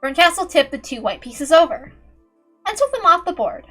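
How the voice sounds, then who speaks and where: A woman's voice: extremely high pitch, very animated, normal speed, sharp tone, soft background music. A woman reading a fantasy story aloud as an amateur narrator, in a dramatic style. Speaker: amateur reader.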